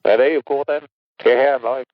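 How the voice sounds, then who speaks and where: A man's voice: medium pitch, fairly even intonation, moderate pace, no echo, lightly acted. A man playing a weary, chummy Welsh phone-in host, in a dull radio sound. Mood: resigned. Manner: downbeat, chummy. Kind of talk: comic phone-in chat.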